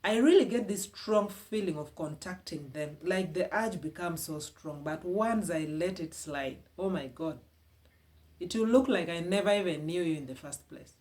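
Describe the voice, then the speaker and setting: A woman's voice: lowish voice, animated, normal speed, darkish tone. Earnest Ugandan woman giving advice. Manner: earnest, emphatic, repeats key phrases. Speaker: vlogger giving relationship advice. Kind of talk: casual advice talk to camera.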